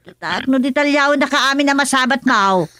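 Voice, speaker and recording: A woman acting as a talkative, teasing village aunt in a radio comedy drama, with an Ilocano Filipino accent and animated exclamations. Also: sits high, moderate pace, no room sound, studio quality. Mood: coaxing.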